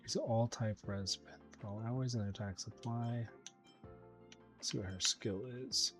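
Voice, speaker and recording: Male gameplay host, low voice, easygoing think-aloud commentary over faint music. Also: steady tone, measured pace. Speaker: host of a game playthrough channel. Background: game music behind the voice.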